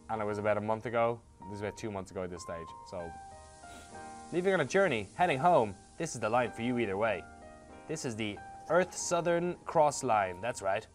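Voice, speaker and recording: An Irish man reading a game's dialogue aloud, with sarcastic, swearing asides between the lines. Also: mid-range voice. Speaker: host playing a game.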